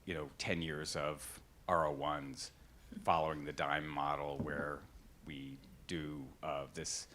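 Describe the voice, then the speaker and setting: A man moderating a panel discussion, thinking aloud in a halting way as he poses a question. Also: extremely low pitch, level tone, noisy background. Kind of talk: panel discussion at grand rounds.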